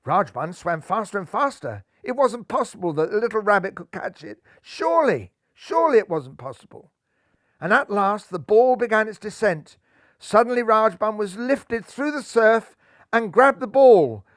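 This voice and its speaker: An older man reads a children's storybook in his armchair, lively and playful. His voice sits fairly high and sounds close and dry on a lapel mic, with no room echo. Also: moderate pace. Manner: lively, raises pitch and volume for characters' lines. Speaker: storybook reader. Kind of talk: reading a children's picture book aloud. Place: living room, seated in armchair.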